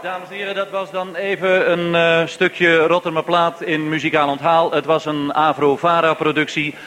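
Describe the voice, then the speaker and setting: A man's voice: on the high side, flat delivery, moderate pace, noisy background. A man hosting a live radio show in a formal, polite and slightly hesitant manner. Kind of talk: live hosting before a hall audience.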